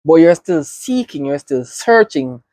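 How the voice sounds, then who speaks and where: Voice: mid-range voice, very animated, measured pace, a little mellow, dry recording. A reaction video host with a Jamaican accent, giving earnest, reflective commentary and often checking in with "right?".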